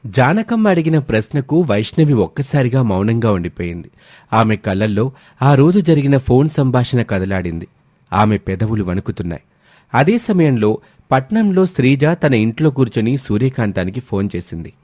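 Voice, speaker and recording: A man's voice: medium pitch, very animated, moderate pace, darkish tone, dry recording, clean studio sound. A man narrating a dramatic family story from a script.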